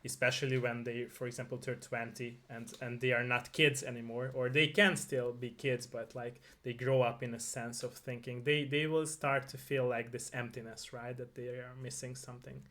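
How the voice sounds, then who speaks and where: Earnest man hosting, quick to agree. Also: medium pitch, little pitch movement, a bit bright. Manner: earnest, repeats short agreements. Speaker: interview host. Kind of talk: casual interview chat.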